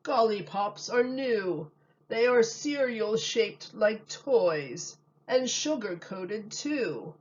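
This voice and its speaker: Woman as a slow, knowing storybook wise man. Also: mid-range voice, lively intonation, highs cut off. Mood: knowing, emphatic. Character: knowing old-tale wise man, grandly explaining.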